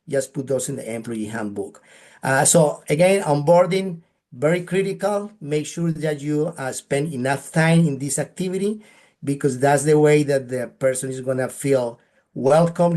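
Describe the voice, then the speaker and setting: A man's voice: on the high side, a little mellow. A man from Colombia with a Colombian Spanish accent, presenting practical advice in a webinar in an earnest manner, often ending points with a questioning tag. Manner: earnest, advice-giving, frequent "right?" tags. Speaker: guest speaker.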